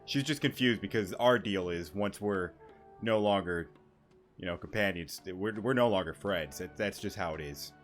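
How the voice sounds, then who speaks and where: A man giving casual let's-play commentary, rambling and halting, with a joking manner. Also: low voice. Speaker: gaming YouTuber. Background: game music.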